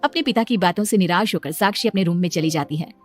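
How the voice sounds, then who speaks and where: A woman's voice: on the low side, quick pace, faint music behind. A woman narrator reading a scripted story in a storytelling style.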